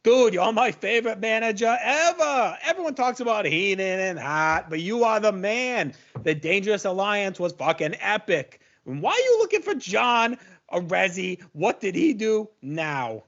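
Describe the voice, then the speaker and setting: A man's voice: high voice, sing-song, moderate pace, fairly bright tone, dull audio, heavily acted. A man playing a young, easily impressed video store clerk and wrestling fan in a comedic audio play. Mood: starstruck.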